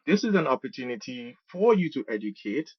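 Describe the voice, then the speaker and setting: A man with a Trinidadian accent talks conversationally as a guest in an interview. His voice sits at a medium pitch. The recording is close and dry, though a little dull on top. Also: very animated, a little fast, breathy edge, no room sound. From Trinidad and Tobago. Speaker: podcast guest, program manager and musician.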